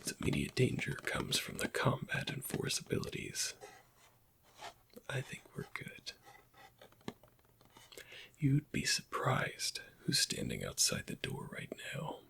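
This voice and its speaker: Weary, bitter Imperial interrogator, played by a man for ASMR roleplay. Gravelly whisper, halting, pointed questions. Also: medium pitch, huge pitch swings, sharp tone, heavily acted. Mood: cold, sardonic. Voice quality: breathy, husky whisper.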